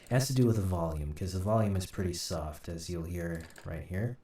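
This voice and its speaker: A man giving an informal screen-recorded software tutorial, thinking aloud haltingly as he works. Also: deep voice. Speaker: host, audio drama sound designer. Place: indoor room at a computer. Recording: roomy, distant mic, light noise. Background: keyboard typing and mouse clicks, faint noise.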